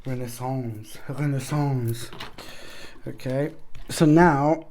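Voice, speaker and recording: A woman's voice: rumbling low, slow, warm tone, a little hiss or hum. A woman giving a weekly tarot reading, talking earnestly and repeating herself, with fond endearments for her viewers. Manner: many fillers and restarts, pleading emphasis. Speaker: tarot reader.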